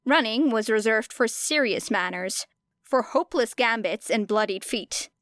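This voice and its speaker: A woman's voice: a little high, lively intonation, measured pace, a bit bright, dry recording. A woman narrating a scripted fiction audiobook.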